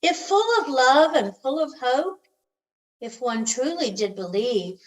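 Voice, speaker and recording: A woman reads a children's storybook aloud in a gentle, expressive storytelling manner, drawing out the ends of phrases. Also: sits high, unhurried, poor audio. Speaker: storybook reader.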